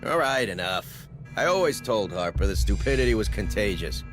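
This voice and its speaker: A no-nonsense soldier in a sci-fi game. He is curt and cynical, with a fairly low voice set against heavy music. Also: sing-song, normal speed. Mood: exasperated. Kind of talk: dramatic video game acting.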